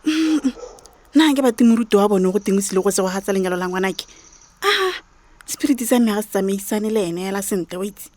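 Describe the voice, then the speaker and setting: Disapproving relative, botswana accent, very lively swoopy pitch, radio drama. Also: female voice, fast talker, no echo.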